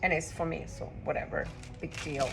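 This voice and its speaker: A woman's voice: low voice, fairly even intonation, moderate pace, gravelly, poor audio. A woman from Costa Rica chatting casually while she cooks in her home kitchen, rambling and blunt with her asides. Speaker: home cook vlogger. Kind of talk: casual cooking vlog.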